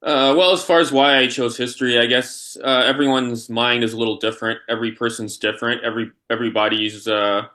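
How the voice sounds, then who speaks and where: A man born in Poland and raised in the United States, answering interview questions in a halting, deliberate, conversational way with repeated starts. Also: medium pitch, steady tone, pushed and bright. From Polish-born, raised in the United States. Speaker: interview guest, history scholar and university teacher.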